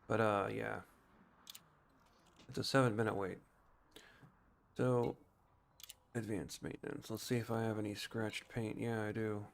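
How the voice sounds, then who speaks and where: A man's voice: on the low side, flat delivery, measured pace, creaky, pauses often. A man giving casual gameplay commentary as a streamer, thinking aloud and explaining things informally. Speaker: game streamer.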